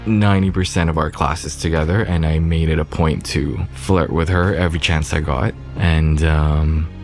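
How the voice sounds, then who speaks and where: A man's voice: rumbling low, fairly even intonation, normal speed. A man recalling his own past in a casual, conversational way. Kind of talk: casual personal recollection. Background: music behind the voice.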